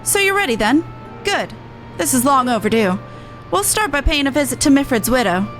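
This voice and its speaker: Woman voicing an earnest, high-voiced game merchant woman. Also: big pitch swings, moderate pace, fairly bright tone, soft background music, poor audio. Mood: determined. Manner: earnest, plain-spoken, colloquial. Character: earnest, brisk woman merchant running a resistance charity. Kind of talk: reading video game dialogue in character.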